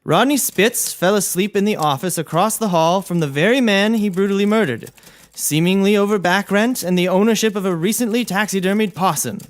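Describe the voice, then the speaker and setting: Higher-voiced hotshot prosecutor acted by a man. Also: a little fast, natural voice. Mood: accusing. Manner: formal courtroom questioning. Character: hotshot male prosecutor, formal and accusing. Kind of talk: scripted audio comedy acting.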